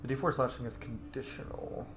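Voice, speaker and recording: A man's voice: medium pitch, steady tone, brisk, very noisy. A man giving casual gameplay commentary, offhand, with muttered asides, roleplay and some swearing. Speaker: gamer, let's player. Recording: roomy mic with game music behind.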